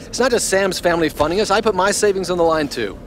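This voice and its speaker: A man's voice: sits high, fast talker, lightly acted. A man playing an indignant, self-important middle-aged archaeologist, pompous and defensive, in a video game cutscene. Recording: noisy outdoor game-scene ambience.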